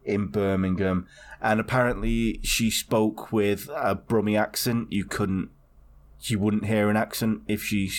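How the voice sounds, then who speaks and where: Podcast co-host, male, lowish voice, loose, rambling, sweary storyteller. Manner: rambling and swearing, with many restarts. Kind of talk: casual chat.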